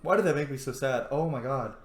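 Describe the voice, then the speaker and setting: A man's voice: mid-range voice, quick pace. A man giving casual, slangy, reactive commentary while roleplaying through a video game. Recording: echoey room.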